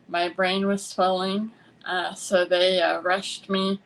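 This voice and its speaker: Slow-paced American woman, conversational. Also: fairly low pitch, flat delivery, low-quality recording. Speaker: interview guest. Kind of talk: conversational personal storytelling.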